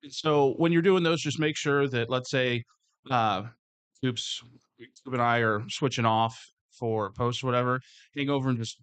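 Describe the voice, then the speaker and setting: A man with a slightly hoarse voice, speaking as a security supervisor in casual workplace talk, rambling and restarting his words. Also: medium pitch, a bit bright, no room sound. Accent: Midwestern American.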